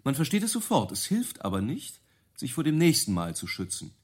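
Persuasive consultant with a husky, animated voice. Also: male voice, mid-range voice, brisk. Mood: measured, persuasive. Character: confident male business consultant pitching a bold idea, measured. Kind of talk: radio play dialogue, deliberate persuasive presentation.

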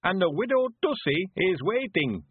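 A man's voice: a little high, big pitch swings, normal speed, slightly rough, no room sound, phone-quality sound, pitched above the performer's own voice, full character voice. A man voicing a cheerful, sprightly rustic in his nineties with a rural West Country accent, speaking emphatic, folksy dialect for an audiobook. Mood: jovial. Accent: rural English West Country. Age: 90s. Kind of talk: audiobook character voice.

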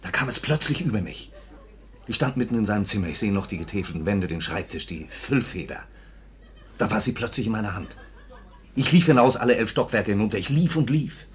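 A man's voice: on the low side, lively intonation, brisk, lightly acted. Man playing a desperate, disillusioned grown son. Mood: shaken, confessional. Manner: urgent, earnest, emphatic. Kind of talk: 1950s German radio drama acting.

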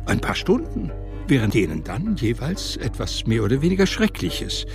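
A man's voice: mid-range voice, wildly swooping pitch, moderate pace, music in the background. A man reading literary narration in a radio drama.

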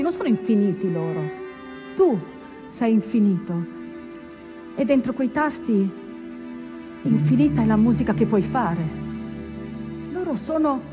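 A woman acting a wistful, intense ship's pianist's tale as a theatrical monologue, deliberate and emphatic, with dramatic pauses. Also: a little high. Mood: wistful, reflective. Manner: deliberate, emphatic, repeating phrases. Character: male ocean-liner pianist and his storytelling friend, wistful, intense. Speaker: stage actress. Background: instrumental music.

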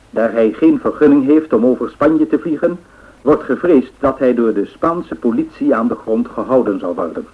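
An actor playing a formal 1930s radio newsreader, delivering a bulletin with measured announcing. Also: male voice, medium pitch, lightly acted. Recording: tinny band-limited old radio sound.

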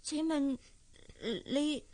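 An actress playing a humble young housemaid in a radio drama, timid and plaintive, with a Hong Kong accent. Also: female voice, high-pitched, extremely slow, a little mellow. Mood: frightened, pleading. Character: meek, humble young housemaid from a poor family. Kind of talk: Cantonese radio drama acting.

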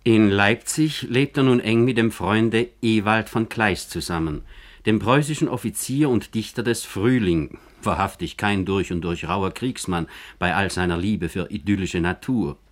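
A man narrating a scripted literary radio documentary in a formal, measured manner. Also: fairly low pitch.

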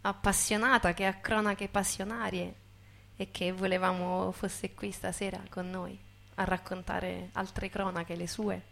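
A woman hosting an evening, introducing someone informally in a halting, amused way. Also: medium pitch, gravelly.

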